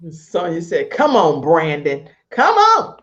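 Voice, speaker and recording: A woman hosting a talk show in an animated, conversational style, effusive and emphatic, repeating phrases for emphasis. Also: on the low side, slow pace, highs cut off.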